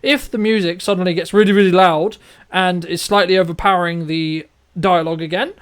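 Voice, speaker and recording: High-voiced man hosting a casual chat. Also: steady tone, brisk, smooth. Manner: rambling, repetitive, checks in with "right?". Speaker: interview host, filmmaker.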